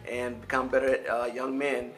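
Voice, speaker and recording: Halting male interviewer. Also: mid-range voice, level tone, a bit bright, faint music behind, low-quality recording. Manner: halting, many fillers and restarts while asking.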